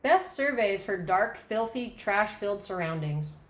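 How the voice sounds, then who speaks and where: A woman's voice: mid-range voice, expressive, measured pace. A woman reading scene directions as scripted narration at a screenplay table read. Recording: roomy echo.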